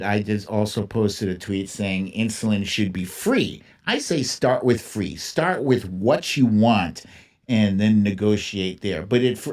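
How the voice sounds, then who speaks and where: A man's voice: fairly low pitch, darkish tone. A man hosting a livestream, giving casual, opinionated political commentary in an informal, emphatic way and repeating phrases for emphasis. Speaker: livestream host.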